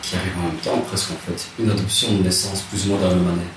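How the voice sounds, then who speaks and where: A man, telling his life story in a relaxed interview, hesitant and soft-spoken with many restarts. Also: sits low, quick pace. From Rwanda. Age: 30s. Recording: echoey room, distant mic, noisy.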